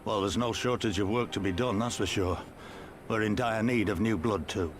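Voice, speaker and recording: A businesslike rebel commander played by a man speaks in a low voice, brisk and practical. It is fantasy game dialogue with music clearly heard behind it. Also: lightly acted. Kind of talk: scripted video game dialogue.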